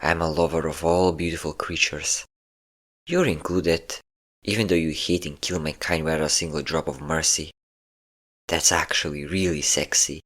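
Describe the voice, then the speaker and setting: Man acting as ancient male vampire, low-pitched voice, flirtatious, taunting, menacing, intimate audio roleplay. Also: steady tone, fairly bright tone. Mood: amused, flirtatious. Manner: taunting, flirtatious, slow endearments.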